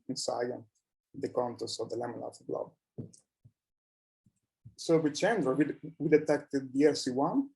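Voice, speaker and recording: Man, mid-pitched, dark mellow tone, academic presenter, halting delivery, conference talk style. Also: low-quality recording.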